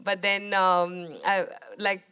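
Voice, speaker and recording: A young woman with an Indian accent, a native Bengali speaker, answering audience questions as a filmmaker in a thoughtful, halting way with many restarts. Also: mid-range voice, flat delivery, sharp tone, clear, resonant tone. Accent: Indian English. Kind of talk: post-screening Q&A.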